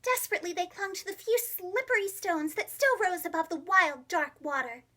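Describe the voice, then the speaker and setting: A woman narrating a children's storybook as a preschool teacher, expressive, with simple phrasing for young children. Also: extremely high pitch, moderate pace, a little hiss or hum.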